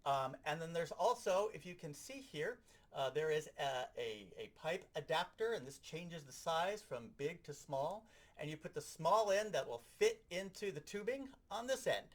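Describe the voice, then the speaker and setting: A man with a slightly high voice teaches in a practical, show-and-tell style. His intonation moves up and down a great deal as he explains each step like an animated instructor. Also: normal speed. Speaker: sound effects instructor. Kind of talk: hands-on instructional demonstration.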